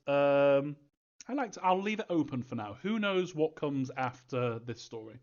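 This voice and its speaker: Hesitant man with dull lo-fi sound. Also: medium pitch. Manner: hesitant, rambling, thinking aloud. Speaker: game creator answering fan questions. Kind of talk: casual live Q&A talk.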